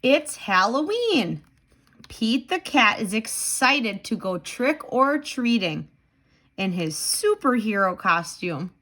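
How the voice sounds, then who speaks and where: Animated, slow-paced woman reading to children. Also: on the high side. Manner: animated, with dramatic gasps and simple clear phrasing. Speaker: tutor reading a children's book aloud. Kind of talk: expressive children's read-aloud with questions to the listener.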